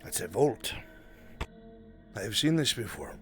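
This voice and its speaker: A man playing a worldly, sly fantasy adventurer in live roleplay, with deliberate, coarse-mouthed delivery. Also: fairly low pitch, big pitch swings. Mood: probing, serious. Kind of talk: live improvised fantasy roleplay in virtual reality. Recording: background music behind the voice.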